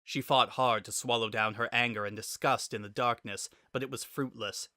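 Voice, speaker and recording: A man narrating a scripted story in a dramatic, expressive and deliberate way. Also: medium pitch, dry recording, clean studio sound.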